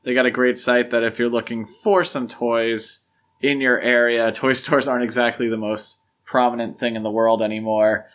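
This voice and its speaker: A man's voice: medium pitch, little pitch movement, moderate pace, fairly bright tone. A man co-hosting a podcast, chatting casually and giving his opinions with emphasis. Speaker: podcast co-host. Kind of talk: casual film discussion chat.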